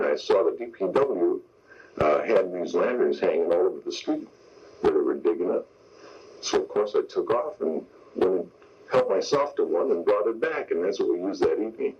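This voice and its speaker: Deep, husky older man reminiscing. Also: animated, normal speed, a little mellow. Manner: explanatory, step by step. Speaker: veteran radio sound effects man, interview guest. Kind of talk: interview reminiscence. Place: radio interview. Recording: dull lo-fi radio broadcast tape.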